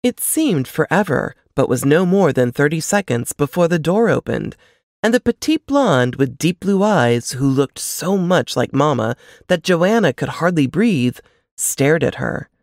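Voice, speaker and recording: A woman reading a novel aloud as scripted narration, with expressive, measured storytelling delivery. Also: low voice, no room sound, clean studio sound. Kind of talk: scripted audiobook-style fiction narration.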